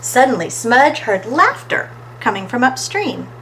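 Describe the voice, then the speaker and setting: A woman reading a children's story aloud as an expressive storytime narrator, recorded with faint background noise. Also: on the high side, measured pace. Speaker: storytime reader.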